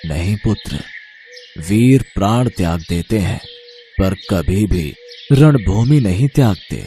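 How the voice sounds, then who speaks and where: A man playing an elderly guru in a storybook tale, calm, fatherly and brave, speaking in a measured, gently commanding way. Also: deep voice, faint music behind. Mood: tender, solemn. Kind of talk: animated storybook character acting.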